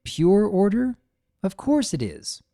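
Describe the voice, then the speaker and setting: A performer voicing an older mare pony, a patient mother who explains things calmly and sensibly in a storybook reading. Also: medium pitch, slow pace, dry recording, clean studio sound, pitched above the performer's own voice. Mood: sensible. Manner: calm, sensible, gently teaching.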